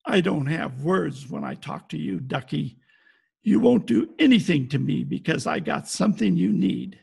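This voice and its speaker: A man playing a cynical, tough-talking private detective in a wry, deadpan 1940s radio style. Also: fairly high pitch, moderate pace, gravelly, husky, heavily acted. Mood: cold, hard bargaining. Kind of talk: 1940s detective radio acting, narration and dialogue. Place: home, recorded over video call. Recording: video call recording with faint music behind.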